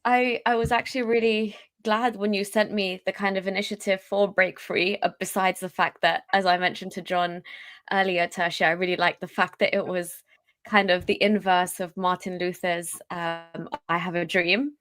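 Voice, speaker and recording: A woman's voice: on the low side, level tone, moderate pace, a bit bright, dry recording. A woman guest on a live online talk show, friendly and rambling, thinking aloud as she answers. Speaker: guest, YouTuber. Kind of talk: casual chat, interview answers.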